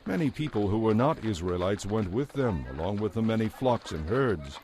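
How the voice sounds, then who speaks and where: A man narrating scripture in a solemn, measured and authoritative way, as part of a dramatized reading. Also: on the low side, lively intonation, darkish tone. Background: background music.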